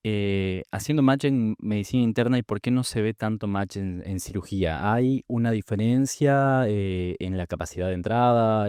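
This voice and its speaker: A man hosting an interview, his voice low and smooth. He puts questions briskly and reads out what the audience has asked. The sound is dry and close. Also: dark tone, dry recording. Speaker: podcast host, interviewer.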